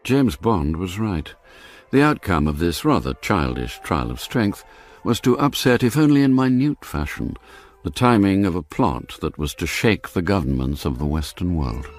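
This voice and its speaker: A man's voice: low-pitched, sing-song, normal speed. A man narrating a radio drama from a script, in literary storytelling style.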